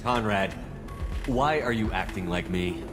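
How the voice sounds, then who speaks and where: Male actor for game's military hero: low voice, measured, level-headed and plain-spoken. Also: over music, close to an everyday voice. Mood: calm, questioning. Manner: measured, matter-of-fact. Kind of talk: video game dialogue.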